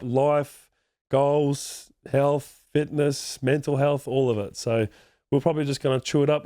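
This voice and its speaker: A man's voice: medium pitch, dark tone, no room sound, clean studio sound. Nasal-voiced podcast host man with a cold. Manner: hesitant, filler-heavy. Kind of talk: casual podcast chat.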